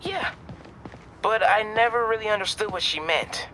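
A man's voice: high-pitched, normal speed, pushed and bright, slight background noise. An actor playing an earnest, eager teenage boy talking with his hero friend in a video game. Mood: earnest, uncertain. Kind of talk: video game phone conversation. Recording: prominent music behind.

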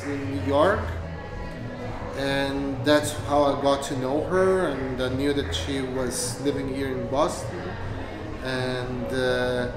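A middle-aged man from Brazil, a flamenco guitarist talking in a relaxed interview. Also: medium pitch, slow pace. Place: dance studio, indoors. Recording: echoey hall with music behind.

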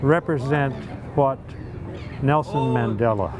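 A man's voice: medium pitch, slow pace, a crowd in the background. An older man hosting a travel documentary outdoors, speaking with fervent, sermon-like enthusiasm. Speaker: host. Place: outdoors on a hillside and a harbor pier.

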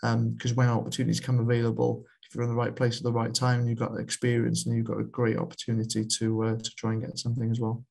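Flat, gravelly man on a video call. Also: mid-range voice, quick pace, hardly any pauses. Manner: hesitant, many fillers and restarts. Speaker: guest, equality and inclusion lead at a football association. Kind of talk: webinar interview answers. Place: home room on a video call.

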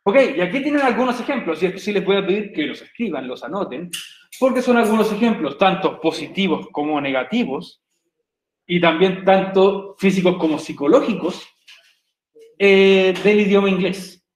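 Chilean teacher with a high voice. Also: male voice, expressive, normal speed, reverberant, poor audio. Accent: Chilean Spanish. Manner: chatty, jokey, asks "¿cierto?" often. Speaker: English teacher. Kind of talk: online class explanation. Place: home room on a video call.

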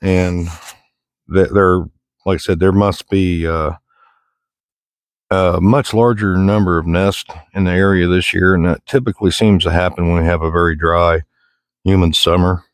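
Deep-voiced folksy American man. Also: steady tone, moderate pace, no room sound, clean studio sound. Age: middle-aged. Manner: folksy, plain-spoken, rambling. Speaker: outdoor vlogger. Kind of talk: casual walk-and-talk chat. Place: outdoors in the woods, walking.

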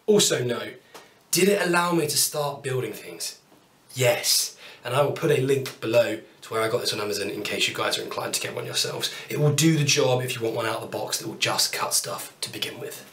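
A man's voice: mid-range voice, a bit bright, recorded from a distance, slight background noise. British man, thirties, casual upbeat woodworking vlog, roomy workshop echo, voice a bit off-mic. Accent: British English. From United Kingdom. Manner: chatty, upbeat, self-deprecating asides. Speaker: woodworking YouTuber. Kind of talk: casual tool walkthrough to camera. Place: small home workshop, indoors. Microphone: camera mic at a distance.

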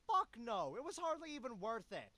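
Exasperated dog sidekick, high pitch, very lively intonation, whiny, sarcastic, video game cartoon. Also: male voice, normal speed, no room sound, heavily acted.

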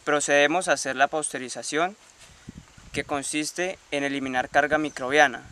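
A man's voice: a little high, pushed and bright, slight background noise. A Colombian man in his late teens giving a prepared explanation to camera outdoors. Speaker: production and marketing leader of a small food business. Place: outdoors in countryside.

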